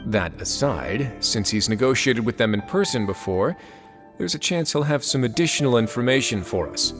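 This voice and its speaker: A man's voice: medium pitch, lively intonation, lightly acted. A man playing a composed, formal duke. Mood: measured. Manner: formal, measured and courteous. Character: dignified composed male duke, judicial authority. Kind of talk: video game voice acting. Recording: game audio with music underneath.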